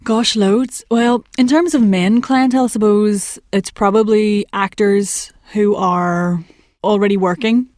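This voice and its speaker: A woman working as a voice and accent coach, talking in a chatty, animated way in a podcast interview. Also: medium pitch, unhurried, dry recording, studio quality. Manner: chatty and animated, laughs often, puts on voices for anecdotes. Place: work commute between Manchester and Liverpool.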